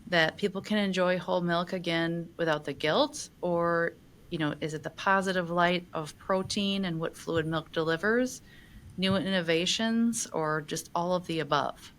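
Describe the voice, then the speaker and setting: A woman hosting a podcast interview who asks measured, thoughtful questions with some fillers. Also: on the low side, fairly bright tone. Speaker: podcast host, magazine editor.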